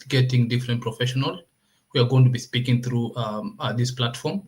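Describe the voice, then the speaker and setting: Halting Kenyan man hosting. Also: medium pitch. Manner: halting, repeats himself. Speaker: host of an online talk series. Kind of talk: informal interview hosting.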